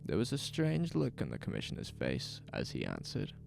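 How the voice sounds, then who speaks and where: A man narrating a scripted horror audio drama in a deliberate, suspenseful storytelling manner. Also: a bass voice, huge pitch swings, creaky, a little mellow. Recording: music behind the voice. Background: music.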